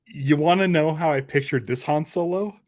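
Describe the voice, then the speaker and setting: A man's voice: on the high side, moderate pace, darkish tone. A man talking casually about pop culture as part of a podcast discussion. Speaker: podcast panelist.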